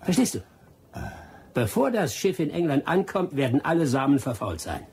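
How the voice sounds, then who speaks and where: An actor playing a wily, vengeful expatriate adventurer in a German radio drama, with a sardonic, persuasive way of talking. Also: male voice, a little high, big pitch swings, normal speed, gravelly, a little mellow, husky, exaggerated voice. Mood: sly, persuasive.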